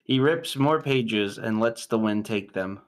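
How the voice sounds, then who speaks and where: A man giving scripted narration, reading screenplay stage directions in a measured, clipped way. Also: on the low side.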